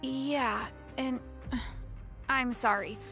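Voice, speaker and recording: A woman playing a nervous, apologetic librarian in a video game, hesitant and halting. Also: high-pitched, fairly even intonation, bright, forceful tone, full character voice. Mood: uneasy. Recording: music playing behind the voice.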